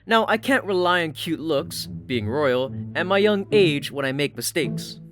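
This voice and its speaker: A man narrates a fantasy story in a slightly high register. His delivery is scripted storytelling, and music plays clearly underneath his voice throughout. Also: animated, unhurried, fairly bright tone. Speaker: audiobook-style story narrator. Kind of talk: scripted fan fiction narration. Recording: music playing behind the voice.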